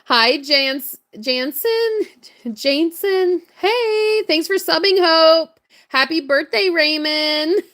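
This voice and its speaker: A woman with a hoarse, worn voice, chatting casually and greeting viewers while crafting on a livestream. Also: very high voice, slow pace, a bit bright. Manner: chatty, upbeat, laughs often. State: hoarse, voice strained from hours of talking. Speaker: livestream crafter and shop owner.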